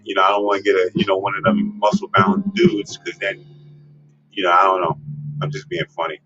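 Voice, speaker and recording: A man's voice: low voice, little pitch movement, a little fast. A man hosting a casual advice livestream, talking with many fillers and restarts. He says himself that he has a strong voice. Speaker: host. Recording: lo-fi with music behind. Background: guitar music.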